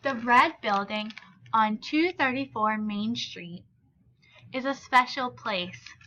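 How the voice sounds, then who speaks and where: A woman reading a children's picture book aloud with deliberate, expressive phrasing for young listeners. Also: fairly high pitch, muffled recording. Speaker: read-aloud storyteller.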